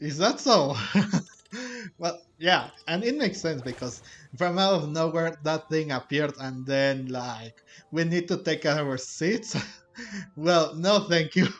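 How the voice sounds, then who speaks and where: Casting: relaxed male let's-play host, unhurried and stumbling, slightly high voice, faint music behind. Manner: halting, repeats himself, chuckly. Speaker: gaming video host. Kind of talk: casual let's play commentary.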